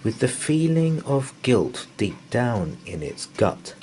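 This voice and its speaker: A man reading a children's bedtime story aloud in rhyme, with an expressive, theatrical delivery. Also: mid-range voice, slow, a little hiss or hum.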